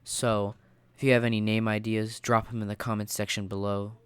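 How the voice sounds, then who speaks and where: A man's voice: fairly low pitch, flat delivery, a little fast, clear, resonant tone, no room sound. Stuffy-nosed young man ranting. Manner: rambling, opinionated, many fillers. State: stuffy nose from a cold. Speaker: YouTuber. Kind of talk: casual ranting commentary.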